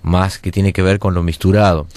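A man with a Uruguayan accent, a guest in a radio interview, speaking haltingly with repeated words. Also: sits low, level tone, a little mellow. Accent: Uruguayan Spanish.

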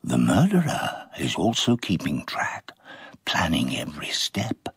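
An actor as the ominous narrator of a radio mystery, deliberate and dramatic. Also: male voice, rumbling low, wildly swooping pitch, vocal fry, fairly bright tone, slightly rough, no room sound, studio quality, exaggerated voice. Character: ominous, measured male narrator of a murder mystery.

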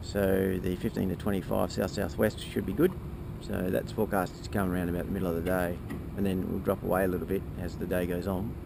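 A man, giving a relaxed, halting casual update as a sailing vlogger, recorded on a handheld camera outdoors. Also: low voice, vocal fry. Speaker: sailing vlogger, boat skipper. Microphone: camera held at arm's length. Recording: outdoor wind and boat noise.

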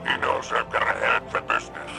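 A man voicing a sly, greedy alien crime boss in a video game, with a deep gravelly voice and an oily, self-satisfied drawl. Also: fairly quick, lightly acted. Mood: smug, wheedling. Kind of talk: scripted video game dialogue. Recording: music under the voice.

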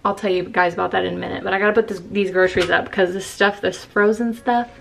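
A woman's voice: mid-range voice, steady tone, quick pace, gravelly, a bit bright, slight background noise. Young woman, rambling home vlogger, close handheld camera in her kitchen. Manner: chatty, rambling, self-correcting. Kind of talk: casual chat to camera. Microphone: handheld camera close to face.

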